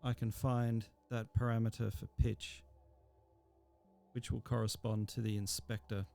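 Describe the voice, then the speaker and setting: Man, teacher, screencast tutorial, informal step-by-step explaining, gravelly low-key mellow tone. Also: flat delivery, a little slow.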